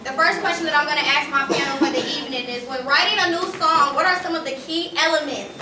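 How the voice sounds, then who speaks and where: A woman's voice: high voice, quick pace. A woman moderating a live panel discussion, recorded at a distance in an echoey room. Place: live event room with audience. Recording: echoey room, distant mic, faint noise.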